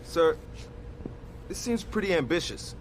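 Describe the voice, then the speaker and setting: A man's voice: a little high, big pitch swings, measured pace, noisy background. An actor playing a cautious army major who respectfully questions his colonel's plan. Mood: doubtful. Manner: respectful but doubtful. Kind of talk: video game cutscene acting. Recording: loud game music behind.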